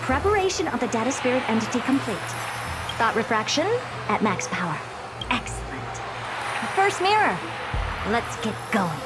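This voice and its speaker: A woman's voice: fairly high pitch, big pitch swings, normal speed, long pauses. A woman voice-acting a haughty, brilliant young female scholar in a video game, giving orders with imperious, breezy condescension. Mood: commanding. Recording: loud music behind the voice. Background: loud game music.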